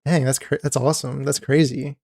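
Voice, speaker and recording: Excited male streamer reacting. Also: medium pitch, fairly quick, gravelly, no room sound, clean studio sound. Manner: excited, chatty, many restarts. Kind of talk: live reaction commentary.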